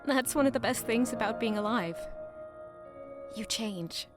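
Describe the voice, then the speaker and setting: Slow, tender young sweetheart woman acting. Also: a little high, music in the background, natural voice. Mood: encouraging. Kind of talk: audio drama acting.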